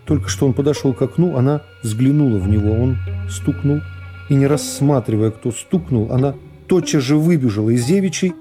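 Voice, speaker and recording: A middle-aged man narrates literary prose as a radio play. His pitch sits in the middle and his delivery is measured and dramatic. Music plays clearly behind the voice. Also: dark tone.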